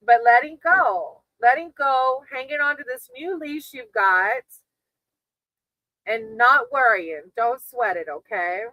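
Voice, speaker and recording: A woman's voice: a little high, takes it slowly, pushed and bright, no room sound, rough recording. A woman hosting a casual live tarot reading, talking to her chat in a reassuring, encouraging way. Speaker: tarot reader hosting a livestream.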